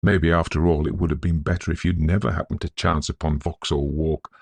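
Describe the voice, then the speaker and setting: Loyal manservant voiced by a male narrator, Victorian ghost story, grave, deferential, husky and close-recorded. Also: very deep voice, little pitch movement, darkish tone, no echo, full character voice. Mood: anxious pleading. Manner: earnest, respectful, measured period phrasing. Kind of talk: dramatised audiobook ghost story.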